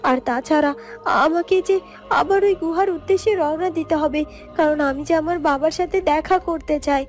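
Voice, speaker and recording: A woman voicing a gentle, wronged village girl in a fairytale cartoon, earnest and pleading, with halting repeated phrases. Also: high-pitched, faint music behind, natural voice. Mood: puzzled, musing. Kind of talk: Bengali fairytale cartoon voice acting.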